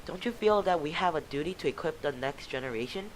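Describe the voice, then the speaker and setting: Preachy woman teacher, read by a man, idealistic and stern, storybook reading, soft hiss behind. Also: a little high, lively intonation, fast talker, natural voice, rough recording. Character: earnest adult woman high school teacher.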